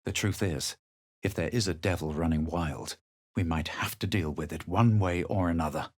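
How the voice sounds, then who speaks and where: Husky, low, haughty fantasy adventurer. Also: male voice, moderate pace, dry recording, clean studio sound. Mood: resolute, assured. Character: proud, self-assured male fantasy adventurer, grave and superior. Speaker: player character voice actor. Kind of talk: dramatic scripted fantasy game lines.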